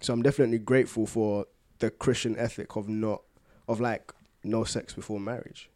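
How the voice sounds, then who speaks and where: A man's voice: lowish voice, fairly even intonation, a little fast, mellow. A young man talking casually as a co-host in a podcast conversation. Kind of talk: casual chat.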